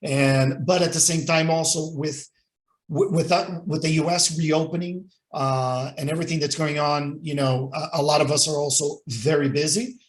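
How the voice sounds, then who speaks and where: A man's voice: on the high side, fairly even intonation, moderate pace. A man hosting an online business meeting. He often repeats words and restarts his sentences. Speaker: chamber of commerce host.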